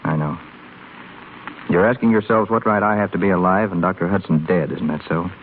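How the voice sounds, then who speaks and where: A man's voice: low voice, brisk, close to an everyday voice. An actor playing a brash, sardonic young rich man in a 1930s radio drama. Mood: bitter, sardonic. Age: young adult. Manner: wisecracking, sardonic. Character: brash, flippant, restless young rich male hospital patient. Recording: scratchy, tinny 1930s radio broadcast recording.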